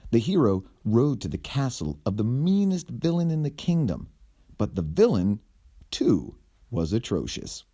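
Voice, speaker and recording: Man, children's story reader, theatrical, slow, very lively intonation, deliberate phrasing. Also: mid-range voice, breathy edge. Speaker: storyteller reading a picture book aloud. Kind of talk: animated children's storybook narration.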